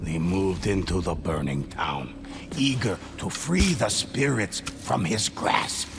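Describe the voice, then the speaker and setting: Deep, gravelly male narrator. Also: expressive, very noisy. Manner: grave, measured storytelling. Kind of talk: scripted game narration. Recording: music under the voice.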